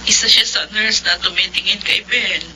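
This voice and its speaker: Woman playing an anxious, bright-voiced pleading mother. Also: mid-range voice, fairly even intonation, moderate pace, slight background noise, low-quality recording. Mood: subdued worry. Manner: pleading, emotional. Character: anxious, tearful middle-aged mother pleading for her son. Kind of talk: melodramatic audio drama acting.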